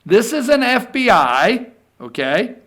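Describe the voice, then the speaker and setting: A man's voice: very high voice, big pitch swings, slow, a bit bright. A man hosting a political talk show, giving off-the-cuff opinionated commentary in an exasperated, emphatic way, often repeating his phrases.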